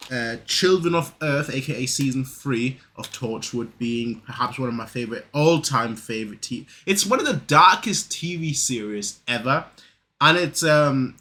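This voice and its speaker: Bright-voiced young man rambling casually. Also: medium pitch, expressive, moderate pace. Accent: northern English, Manchester and Lincoln, with a German trace. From south Germany. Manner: rambling, many fillers and restarts. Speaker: reaction video host. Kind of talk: casual reaction commentary.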